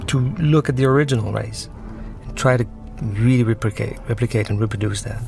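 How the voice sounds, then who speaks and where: A man, a film director, giving commentary on his film in a halting way, searching for words. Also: medium pitch, creaky, loud music behind the voice.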